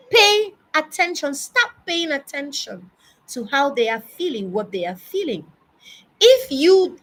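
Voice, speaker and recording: High-pitched, slow-speaking Nigerian woman. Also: a bit bright, rough recording. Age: early forties. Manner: emphatic, deliberate, with repetition for stress. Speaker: speaker addressing parents. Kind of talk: personal advice monologue.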